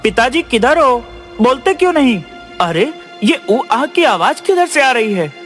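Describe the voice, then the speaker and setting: A man's voice: extremely high pitch, very animated, a little slow, fairly bright tone, soft background music, exaggerated voice. Man voicing an anxious, piercing young son. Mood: searching, worried. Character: anxious young son searching for his father. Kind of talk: audio moral-story acting.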